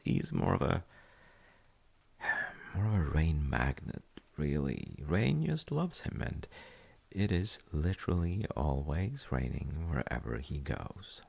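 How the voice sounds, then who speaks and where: A man playing a gentle, thoughtful dad in an intimate audio roleplay, speaking hesitantly and softly with a gravelly, husky voice. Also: very deep voice, exaggerated voice. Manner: hesitant, musing, soft-spoken. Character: gentle, thoughtful dad comforting his child. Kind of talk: intimate audio roleplay, slow bedtime chat.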